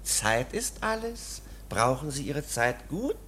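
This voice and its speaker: An actress playing a mocking, ominous court attendant in a radio play, sardonic and mock-courteous. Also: female voice, a bass voice, big pitch swings, takes it slowly, fairly bright tone, heavily acted. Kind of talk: German radio play acting. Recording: faint background noise.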